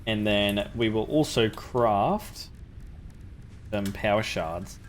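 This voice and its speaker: Young male gaming host with a sore throat, low-pitched, relaxed think-aloud commentary, faint background hum. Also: slow. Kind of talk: gameplay commentary.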